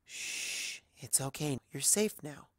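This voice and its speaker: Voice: medium pitch, slow, fairly bright tone, no room sound, studio quality, pitched above the performer's own voice. An adult voicing a teasing, affectionate pony wife. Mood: soothing. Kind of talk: amateur fan fiction character reading.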